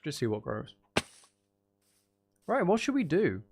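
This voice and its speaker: A man's voice: on the high side, wildly swooping pitch, unhurried, noisy background. A man thinking aloud as he plays a building game, chatting casually with musing, playful asides. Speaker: streamer playing a building game.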